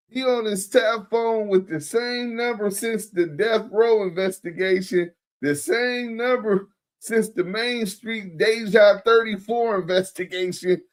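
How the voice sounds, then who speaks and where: A man's voice: sits high, fairly bright tone. American male stream host, mocking, foul-mouthed slang, casual reaction commentary. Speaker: livestream host reacting to clips.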